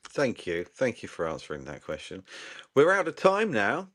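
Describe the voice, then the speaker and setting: A man's voice: mid-range voice, wildly swooping pitch, moderate pace, fairly bright tone, dry recording. A man hosting a livestream interview, polite and self-deprecating as he wraps up questions. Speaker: show host. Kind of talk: livestream conversation.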